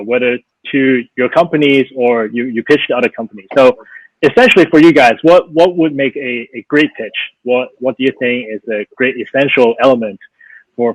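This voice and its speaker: A man's voice: medium pitch, breathy edge, dry recording, low-quality recording. A man moderating an online panel chat, easygoing, with frequent fillers and repeated words.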